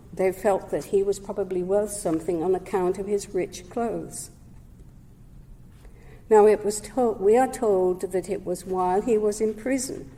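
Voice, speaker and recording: Woman speaker at a sunday service, calm and measured, mellow tone, lots of hall reverb. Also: mid-range voice. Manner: measured and gentle, with occasional self-corrections. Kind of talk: prepared talk read aloud.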